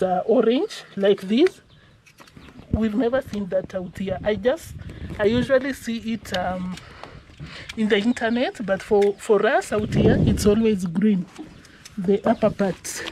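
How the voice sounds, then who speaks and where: A woman's voice: medium pitch, background noise. A woman chatting as a village vlogger, explaining her farm work as she goes and laughing often. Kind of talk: casual walk-and-talk vlog. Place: outdoor farm field in the rain.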